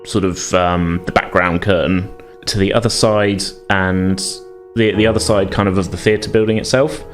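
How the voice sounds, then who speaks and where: A man's voice: deep voice, fairly even intonation, normal speed, soft background music. A man narrating a tabletop roleplaying game as game master, improvising in hesitant, piecemeal phrases.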